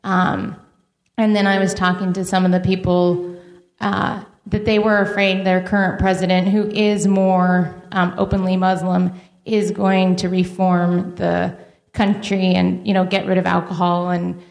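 A woman recounting conversations from a tour in a casual group discussion, measured with hesitant fillers. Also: fairly low pitch, little pitch movement, gravelly, a little mellow. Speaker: trip panelist. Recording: echoey room.

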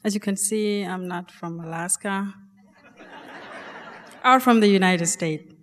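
A woman from South Sudan with a South Sudanese accent, telling a personal story on stage in a halting, unhurried way. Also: medium pitch, a bit bright, in a large hall. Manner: slow, halting, with restarts. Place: large performing arts hall.

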